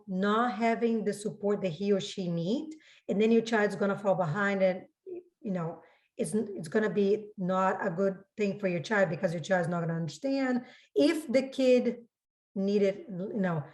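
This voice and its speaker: A woman with a mid-range voice speaks as a school registrar fielding questions. Her delivery is informal and explanatory, and she often restates a point to make it clear. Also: medium pitch, darkish tone. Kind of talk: informal spoken explanation in a public Q&A.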